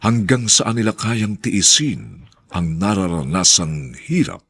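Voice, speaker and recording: A man with a gravelly, husky voice reading dramatic scripted narration and credits as an announcer. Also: deep voice, lively intonation, slow, fairly bright tone.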